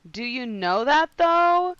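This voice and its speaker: A woman streaming a game, casually reacting and reading choices aloud in an expressive, irreverent, giggly way. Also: high voice, slow, smooth, no room sound, muffled recording.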